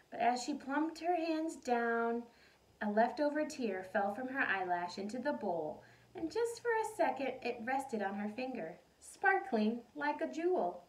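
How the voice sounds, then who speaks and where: A woman reading a storybook aloud to children in an expressive, animated way. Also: on the high side. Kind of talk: expressive storybook read-aloud with questions to listeners. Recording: roomy echo, distant mic.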